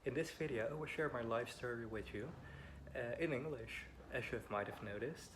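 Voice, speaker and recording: A man's voice: mid-range voice, normal speed. A man in his twenties from the Netherlands, speaking English with a Dutch accent, Dutch being his first language. He tells a personal story at home as a vlogger, a bit nervous, hesitant and apologetic with frequent ums. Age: 20s. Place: home beside a busy road. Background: faint wind and passing traffic.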